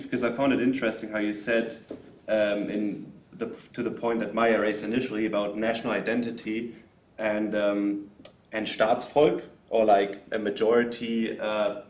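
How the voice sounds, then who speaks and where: A man from the audience asks a long, careful question at a lecture. He speaks loudly and pauses often with "um" and "uh." The recording is roomy and echoing. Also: medium pitch. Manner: loud, hesitant, many fillers. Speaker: audience member asking a question. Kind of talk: spoken question at a talk. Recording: roomy hall sound.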